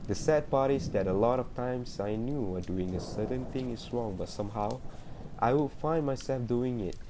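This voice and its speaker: A man's voice: medium pitch, moderate pace, noisy background, lightly acted. A man playing an earnest, troubled therapy patient giving a confessional monologue in an amateur radio drama. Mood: earnest, confessing.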